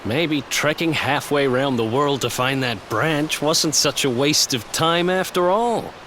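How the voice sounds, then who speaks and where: A man's voice: on the high side, measured pace, a bit bright, slight background noise. An actor playing a cocky young male thief in a video game, talking casually and cheekily. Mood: cheeky.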